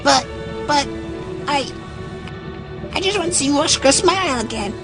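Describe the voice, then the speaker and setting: A woman's voice: high-pitched, animated, unhurried, over music. A woman voicing a small, timid but earnest boy in an English anime dub. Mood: earnest, determined.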